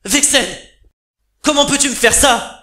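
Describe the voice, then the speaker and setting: A man's voice: extremely high pitch, unhurried, pushed and bright, a little hiss or hum, heavily acted. A man playing a proud, hot-headed teenage boy, brooding and defiant, in a dramatic fan dub. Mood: defiant, angry. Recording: roomy home dub with music.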